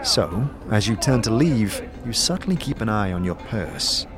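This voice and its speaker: A man's voice: on the low side, big pitch swings, measured pace, over music. A man narrating a scripted fantasy story as a storyteller, addressing the listener directly.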